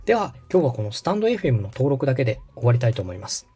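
A mellow, slightly husky man with lively intonation guides a learner as a host. He explains each step politely and methodically, with music underneath. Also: mid-range voice, racing. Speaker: podcast host and radio director giving step-by-step guidance. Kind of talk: instructional walkthrough in dialogue.

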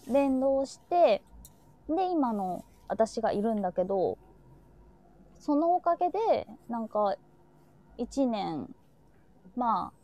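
A woman's voice: a little high, mellow, rough recording. A woman hosting a live streamed talk, chatting casually and reflectively and sharing her feelings openly.

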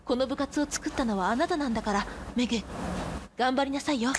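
Woman as a high-voiced, composed schoolgirl. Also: background noise, close to an everyday voice. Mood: firm, encouraging. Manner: measured, earnest, feminine phrasing. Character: composed, apologetic but firm high school girl friend. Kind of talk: radio drama acting.

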